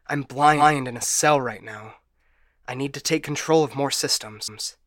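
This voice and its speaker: A man's voice: mid-range voice, a little slow, fairly bright tone, no echo, clean studio sound, natural voice. A man playing a broken, paranoid ship AI in a science fiction audio drama, stammering and getting stuck repeating words. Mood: halting, scheming. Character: frightened, broken male ship AI, paranoid and unstable.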